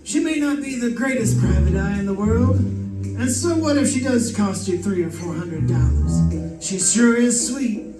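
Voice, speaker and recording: A man plays a jaunty old-time radio announcer, half singing his theme. His voice is high and unhurried, echoing in the room over loud guitar music. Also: animated. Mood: jaunty, playful. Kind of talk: vintage radio show theme, sung and spoken. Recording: echoey distant stage mic with guitar music.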